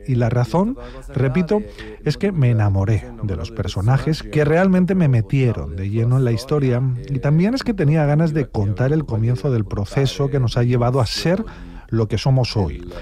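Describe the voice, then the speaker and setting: Guy doing interview dub, mellow relaxed voice, mid pitch. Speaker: voice-over interpreter. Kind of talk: translated interview voice-over.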